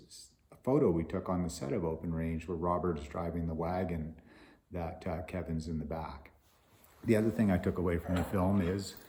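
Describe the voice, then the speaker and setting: Low, mellow man in a roomy space. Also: slight background noise. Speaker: film property master and author. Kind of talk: storytelling, reading from own memoir. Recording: roomy echo.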